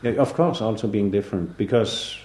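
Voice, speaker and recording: A man in his sixties from southern Denmark, a native Danish speaker, talking in a relaxed interview with many pauses, fillers and restarts. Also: on the low side, echoey room. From southern Jutland, Denmark. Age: 60s. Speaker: artist interviewee.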